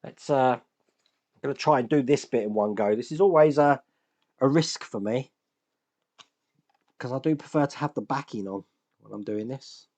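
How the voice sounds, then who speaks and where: A man hosting a model-building channel, his voice hoarse and deeper than usual from shouting, chatting casually and thinking aloud as he works with his hands. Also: normal speed, long pauses. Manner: chatty, self-deprecating, thinks aloud while working.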